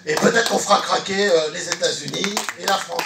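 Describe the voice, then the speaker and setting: High-pitched man speaking in an echoey meeting room. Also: steady tone, fairly quick, creaky, loud music behind the voice. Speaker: trade unionist speaking at a debate. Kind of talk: public meeting speech, partly reading a statement aloud. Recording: echoey room, distant microphone.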